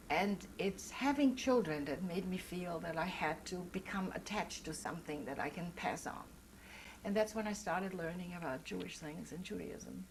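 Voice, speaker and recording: An older woman, German by mother tongue, speaks in a slightly low voice during an oral history interview. She is reflective and earnest and pauses to search for the right words. A faint hiss sits under the recording. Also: rough recording.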